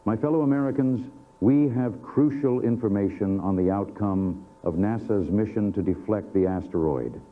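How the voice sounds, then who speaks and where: Deep-voiced solemn president acted by a man. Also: close to an everyday voice. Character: solemn US president addressing the nation. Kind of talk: formal televised national address. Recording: tinny narrow-band broadcast audio.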